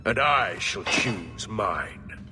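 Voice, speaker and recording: An actor playing a proud, menacing villain in a video game, speaking in a gravelly, measured, disdainful way. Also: male voice, lowish voice, lively intonation, heavy background noise. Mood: cold menace. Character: menacing, proud villain, seasoned mage-hunter.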